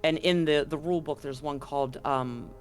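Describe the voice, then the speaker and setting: A man's voice: on the high side, steady tone, moderate pace, soft background music. Chatty man running a tabletop game. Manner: chatty, explanatory, frequent ums. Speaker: game master. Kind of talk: recapping and explaining a tabletop game on a livestream.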